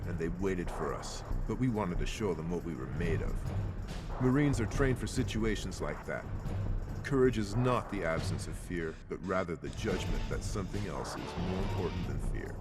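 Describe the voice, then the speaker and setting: A man playing a battle-hardened Marine in a military shooter game, speaking as a soldier who tells of his missions. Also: sits low. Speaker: narrator and squad soldier. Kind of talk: scripted military narration. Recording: game music behind voice.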